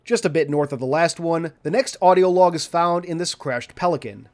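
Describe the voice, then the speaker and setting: A man reading a scripted video game walkthrough in a measured, orderly, instructional way. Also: a little high. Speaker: video game guide narrator. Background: background music.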